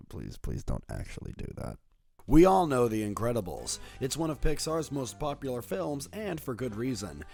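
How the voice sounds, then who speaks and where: Animated, wry man narrating over music. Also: medium pitch. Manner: playful, wry, conversational. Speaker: YouTube horror commentator. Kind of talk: scripted video essay narration.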